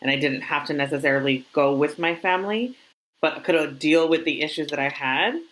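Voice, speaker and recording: A woman's voice: deep voice, normal speed, fairly bright tone, poor audio. A woman co-hosting a talk show, speaking casually from personal experience.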